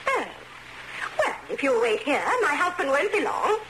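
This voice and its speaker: A woman's voice: high-pitched, very animated, moderate pace, a bit bright. A woman playing a haughty, weary upper-class English society wife in a comic radio drama, theatrical, gushing and sardonic. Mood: irritable. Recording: noisy radio drama recording.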